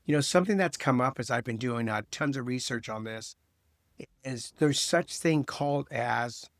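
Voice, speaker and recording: Gravelly-voiced joking father podcast host. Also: medium pitch, moderate pace, no echo. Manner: loose, joking, many fillers. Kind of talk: casual chat.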